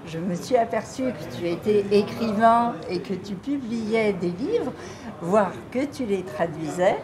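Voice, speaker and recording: A woman hosting an interview in French, prompting her guest gently and laughing often. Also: a little high. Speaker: interviewer, calligraphy teacher. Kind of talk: friendly interview.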